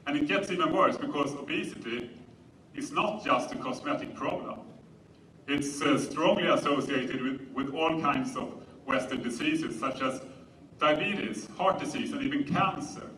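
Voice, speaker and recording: Bright-voiced Swedish man lecturing in roomy space. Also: on the high side, normal speed. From Sweden. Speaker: conference speaker. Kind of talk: public presentation lecture. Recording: roomy, distant mic, faint noise.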